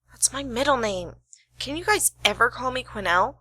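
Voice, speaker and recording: A woman's voice: fairly high pitch, lively intonation, measured pace, bright, forceful tone, heavily acted. A woman playing a wry, weary young private detective in an amateur radio play, sardonic and businesslike. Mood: exasperated. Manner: sardonic, exasperated sighs.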